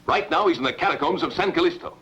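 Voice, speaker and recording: A man's voice: fairly high pitch, fast talker, lightly acted. A man playing a resolute Roman conspirator, urgent and determined, in a dubbed sword-and-sandal film. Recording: dull lo-fi dub with faint noise.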